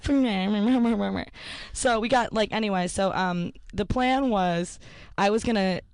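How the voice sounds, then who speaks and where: A young woman host with a low, somewhat masculine voice, chatting in a loose, self-mocking way full of trailing asides. Her voice sits at an ordinary pitch and is recorded close and dry, with no room sound around it. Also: takes it slowly, a little mellow, no echo. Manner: self-deprecating, laughing often, trailing off with likes. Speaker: podcast host. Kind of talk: casual interview chat.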